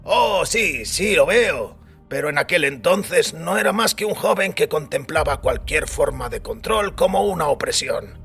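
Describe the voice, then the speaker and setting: An actor playing a weary older priest who recounts a wild youth in a wry, reflective way, with a raspy voice. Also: male voice, on the high side, lively intonation, a little slow, a bit bright, exaggerated voice. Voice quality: raspy, gravelly. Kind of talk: dramatised audiobook acting. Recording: music bed under the voice.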